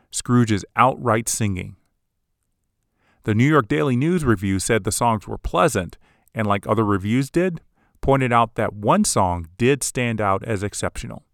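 A man hosting a podcast, giving scripted, measured commentary on film history. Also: on the low side, dry recording, studio quality. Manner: measured, analytical. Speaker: podcast host.

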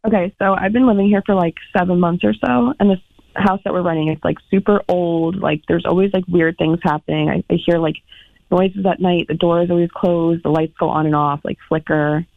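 A woman's voice: on the low side, fairly even intonation, fairly quick, vocal fry, no room sound. A woman phoning in as a guest, telling her story in a casual, informal way with hesitant, trailing phrases. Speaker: phone-in guest. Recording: tinny, narrow phone line.

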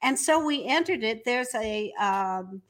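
A woman's voice: fairly high pitch, lively intonation, measured pace. A woman speaking as an entrepreneur guest, explaining her work conversationally in an interview.

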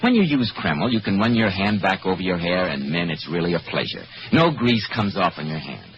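A man's voice: deep voice, big pitch swings, a little fast. A man with an American accent announcing and selling in polished, emphatic 1940s radio style. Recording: dull, noisy 1940s radio recording.

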